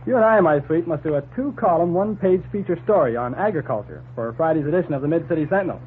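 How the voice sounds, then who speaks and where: An actor playing a brisk, wisecracking newspaper reporter in a 1940s radio drama, breezy and bantering. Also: male voice, on the high side, expressive, lightly acted. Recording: tinny, noisy 1940s radio recording.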